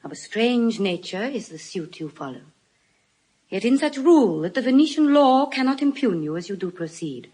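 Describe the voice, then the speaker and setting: An actress playing an eloquent, solemn young lawyer in an audio Shakespeare drama, measured and earnest, often dropping to hushed emphasis. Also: female voice, fairly high pitch, very animated, husky, rough recording. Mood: formal, probing. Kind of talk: classical stage acting in audio Shakespeare.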